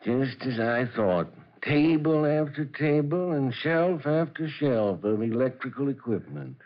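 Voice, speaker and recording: A man's voice: mid-range voice, lively intonation, unhurried, lightly acted. An actor playing a curious, scholarly professor in a 1940s radio drama, musing and hesitant as he inquires. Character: curious, scholarly male professor investigating a laboratory. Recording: dull 1940s radio recording.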